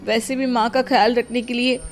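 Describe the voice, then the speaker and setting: A woman's voice: a little high, little pitch movement, normal speed, soft background music, natural voice. A woman voicing a meek, dutiful young daughter-in-law in a cartoon story, pleading and respectful.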